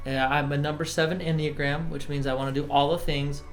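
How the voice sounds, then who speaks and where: A man's voice: a little high, little pitch movement, fairly quick, soft background music. A man in his forties from the United States, chatting casually and joking around as a guest on a reaction video. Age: 40s. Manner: playful, jokey. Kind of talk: casual chat.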